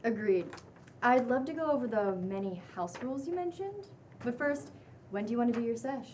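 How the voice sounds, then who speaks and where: A woman reading the part of a smooth-talking, reassuring housemate at a table read, a little away from the microphone. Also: on the high side, lively intonation, brisk, a little mellow, natural voice. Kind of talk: table read of a pilot script. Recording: distant mic, faint room noise.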